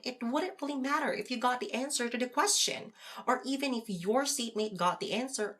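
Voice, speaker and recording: A woman performing a scripted, conversational debate for an audio drama podcast, with an expressive, theatrical delivery. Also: fairly high pitch. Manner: theatrical, expressive, asks rhetorical questions. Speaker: podcast host performing both sides of a scripted dialogue.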